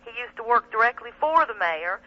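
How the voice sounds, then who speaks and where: A woman's voice: fairly high pitch, animated, a little fast. Indignant woman on a lo-fi radio call. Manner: emphatic, indignant. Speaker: guest, attorney and activist. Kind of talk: impassioned talk radio interview. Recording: band-limited 1990s shortwave radio, telephone sound.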